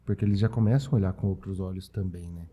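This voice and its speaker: A Brazilian man with a husky voice hosting a casual interview, agreeing briefly and asking follow-up questions. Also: deep voice, quick pace, warm tone. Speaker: podcast host.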